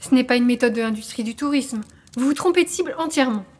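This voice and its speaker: A high female voice from an actress plays a stuffy resort official in a comic audio serial. She talks in formal, pedantic phrases and stays evasive and defensive. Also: quick pace, a little mellow. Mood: defensive, pompous. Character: officious, defensive ski-resort legal representative, a stuffy woman. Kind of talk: scripted comedic audio drama.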